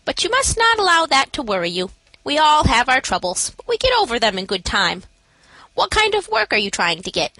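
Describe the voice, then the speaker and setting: A woman voicing a kindly middle-aged woman who runs a shelter, speaking in a gently coaxing, solicitous way. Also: on the high side, big pitch swings, moderate pace, husky, dry recording, voice pushed up, heavily acted, rough recording. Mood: concerned, kindly.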